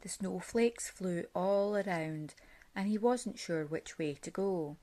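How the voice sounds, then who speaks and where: Female storybook reader, lower-pitched, very unhurried, expressive and deliberate delivery for young children. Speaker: parent reading a picture book aloud. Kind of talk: storybook read-aloud for children.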